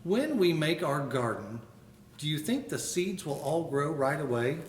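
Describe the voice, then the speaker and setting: A man reading as a calm, patient teacher. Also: a little high, measured pace, slight background noise. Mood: gentle. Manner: calm, gentle, reassuring. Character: patient, kind woman schoolteacher, warm and unflappable. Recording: roomy echo.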